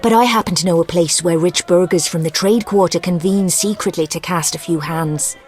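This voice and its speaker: A woman's voice: fairly low pitch, normal speed, lightly acted. A woman playing a flirtatious, worldly gambler with a coy, teasing manner, in a video game. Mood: conspiratorial.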